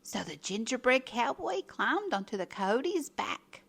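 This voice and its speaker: Lady reading a kids story, highish voice that swoops around, really expressive. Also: unhurried, creaky, husky. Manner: expressive, dramatic emphasis. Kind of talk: scripted children's story read-aloud.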